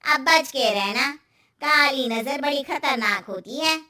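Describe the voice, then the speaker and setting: A woman's voice: high-pitched, takes it slowly, pushed and bright, exaggerated voice. A woman voicing a nosy, superstitious middle-aged neighbour with an emphatic, warning manner in a cartoon moral story.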